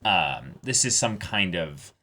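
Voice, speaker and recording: Deep, bright-voiced man talking through a theory. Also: animated. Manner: explanatory, halting and searching for words. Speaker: co-host of an anime reaction show. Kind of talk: casual discussion, analysing a story.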